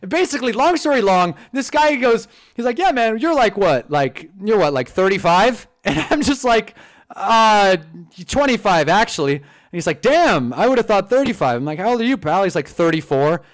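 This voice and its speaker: Animated young Californian guy. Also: high-pitched, normal speed. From Southern California. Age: 20s. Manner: animated, swearing, storytelling. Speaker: streamer host. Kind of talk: casual live-stream commentary.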